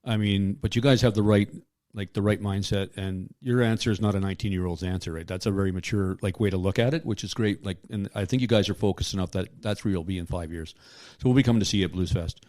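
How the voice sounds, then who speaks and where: A man's voice: deep voice, quick pace, darkish tone, dry recording. A man co-hosting a podcast, joking and self-deprecating in casual chat. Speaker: podcast co-host.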